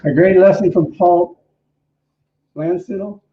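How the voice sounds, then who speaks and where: A man with a somewhat high voice co-hosts an online service as minister, leading affirmations and chatting casually. The sound is echoey and a bit muffled. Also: takes it slowly, a little hiss or hum. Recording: echoey room, dull lo-fi online-meeting audio.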